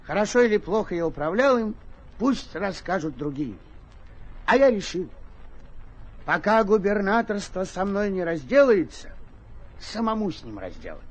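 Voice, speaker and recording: An actor playing a simple, good-hearted and plaintive peasant squire in a theatrical classic radio play. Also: male voice, high-pitched, slightly rough, full character voice, rough recording. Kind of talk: classic radio drama acting.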